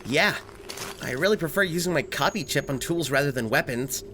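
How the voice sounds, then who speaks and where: A woman's voice: sits low, animated, a little fast, fairly bright tone. A woman voicing an earnest, good-natured young robot boy hero in a comic dub, boyish and matter-of-fact. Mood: awkward. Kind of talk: cartoonish comic dub acting. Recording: faint noise, ambience behind.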